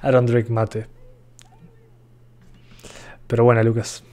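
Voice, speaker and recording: A man's voice: mid-range voice, little pitch movement, measured pace, a little mellow. A young man from Uruguay, chatting casually while streaming a game and reading chat aloud. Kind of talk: live gameplay commentary. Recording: faint gunfire behind voice.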